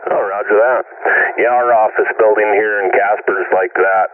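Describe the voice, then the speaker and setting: A man on CB radio who talks in an easygoing, rambling way with many pauses and fillers. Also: lowish voice, steady tone. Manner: easygoing, rambling, lots of uhs. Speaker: CB radio operator. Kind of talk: casual CB radio chat. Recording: distant, phone-like CB radio.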